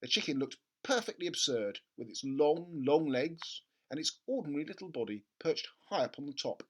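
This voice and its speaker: A storyteller reading a children's book aloud in an expressive narrating style. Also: mid-range voice, normal speed. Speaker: storybook reader.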